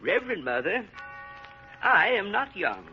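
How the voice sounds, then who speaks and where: An actor playing an excitable, deferential old French convent gardener in a vintage radio drama. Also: male voice, sits high, faint music behind, full character voice. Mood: humble, hesitant. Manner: excitable, animated, halting and chuckling. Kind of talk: 1930s-40s radio drama acting. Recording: muffled vintage radio broadcast recording.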